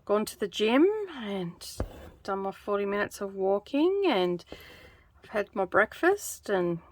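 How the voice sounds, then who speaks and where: A woman chatting in a relaxed, rambling way while she stitches for her craft vlog. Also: mid-range voice, a little slow, a little hiss or hum. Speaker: craft vlogger. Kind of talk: casual chat while stitching.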